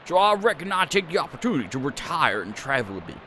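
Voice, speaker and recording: A man's voice: a little high, big pitch swings, quick pace, bright, forceful tone, a little hiss or hum, pitched below the performer's own voice. A man doing a rambling old farmer. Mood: folksy. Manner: rambling country drawl. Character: aging male farmer, homely and folksy.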